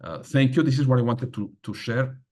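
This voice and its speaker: A man speaking as a panelist, halting with many fillers and pressing key points. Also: medium pitch, a little mellow. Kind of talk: panel talk on migration policy.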